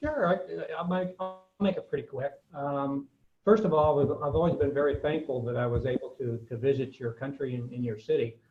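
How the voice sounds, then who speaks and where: A man's voice: mid-range voice, moderate pace, highs cut off, soft background music. Folksy American man telling a story. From United States. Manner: folksy, hesitant with many fillers. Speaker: guest speaker. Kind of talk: casual anecdote to a class.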